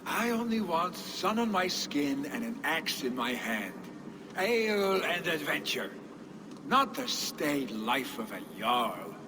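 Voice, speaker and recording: An actor playing a carefree, boastful young Viking warrior, the son of a jarl, with a teasing, jovial manner. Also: male voice, on the high side, sing-song, slow pace. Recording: game cutscene with ambient noise.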